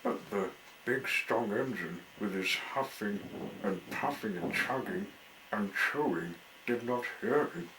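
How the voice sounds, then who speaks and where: Male children's storyteller, unhurried deliberate phrasing, crisp bright tone, faint noise in the background. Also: fairly low pitch, expressive, low-quality recording. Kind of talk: reading a children's story aloud.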